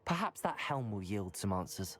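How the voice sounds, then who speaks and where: Courteous, earnest student hero for a fantasy game, lively intonation, medium pitch, faint music. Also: male voice, normal speed. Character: earnest, polite young student wizard. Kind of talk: video game acting.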